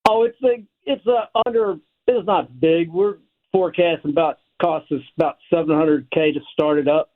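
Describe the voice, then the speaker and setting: A man's voice: a little high, steady tone, moderate pace. An older American man calling in to a radio show by phone, chatting casually in a folksy, self-deprecating way. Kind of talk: casual chat. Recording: narrow tinny phone line.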